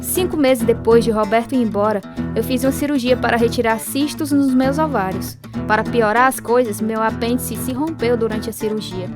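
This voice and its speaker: Actress as earnest young daughter, highish voice. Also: female voice, normal speed, hardly any pauses, natural voice. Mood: earnest, sorrowful. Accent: Brazilian. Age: young adult. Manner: earnest, heartfelt. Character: devout, hurt young daughter recounting her life, earnest. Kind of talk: radio drama narration and dialogue. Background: music.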